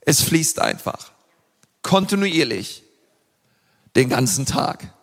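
A man's voice: fairly high pitch, animated, takes it slowly. A man preaching a sermon in an earnest, emphatic way, with frequent fillers and restarts. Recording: long reverb of a large hall.

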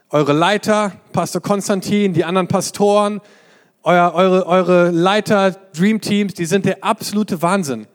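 A young man with a high voice preaches to a congregation with eager, exclamatory energy. His words ring out through a large church hall with a long reverb trailing behind them. He speaks as a visiting pastor. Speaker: guest pastor. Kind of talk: sermon.

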